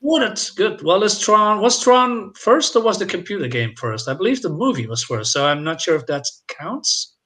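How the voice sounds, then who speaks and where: A man's voice: high-pitched, lively intonation, moderate pace, bright, forceful tone. A man co-hosting a casual movie discussion, giving his opinions freely. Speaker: film discussion show co-host.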